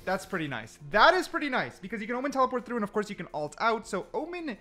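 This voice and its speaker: A man doing excited gameplay commentary, thinking aloud and often repeating himself. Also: sits high, quick pace. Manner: repetitive, exclamatory, thinking aloud. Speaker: gaming content creator testing game mechanics.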